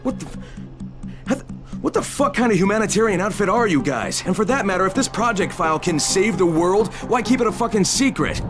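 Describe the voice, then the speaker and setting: An actor playing a defiant, foul-mouthed undercover agent in a video game, blunt and sarcastic. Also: male voice, high-pitched, expressive, a little fast, music in the background. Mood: angry.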